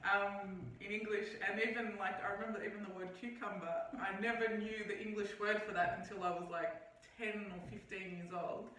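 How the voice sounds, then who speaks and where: A young woman talks cheerfully and chattily, dropping in the odd um. Her tone is bright and clear. She is picked up from a distance in a very echoey room with a little faint noise. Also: mid-range voice, steady tone, moderate pace, hardly any pauses. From Australia. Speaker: guest. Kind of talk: casual conversational interview.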